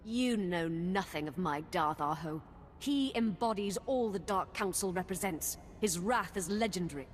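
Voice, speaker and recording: A woman's voice: on the low side, lively intonation, normal speed, natural voice. An actress playing a cruel, proud female Sith apprentice in a video game, haughty and threatening. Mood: menacing. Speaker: villain. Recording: game audio with long reverb.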